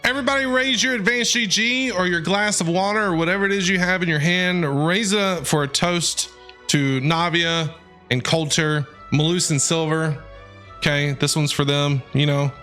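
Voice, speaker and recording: A woman's voice: fairly low pitch, normal speed, fairly bright tone. A woman streaming a game, reacting casually in a playful, folksy way. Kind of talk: casual live gameplay reaction. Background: game music.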